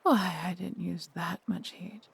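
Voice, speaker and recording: A woman playing a proud, tender female dragon in a fantasy audio roleplay, with a breathy, theatrical, measured delivery. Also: lowish voice, fairly even intonation, full character voice. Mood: smug, affectionate. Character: proud, doting female dragon, adventurous and protective.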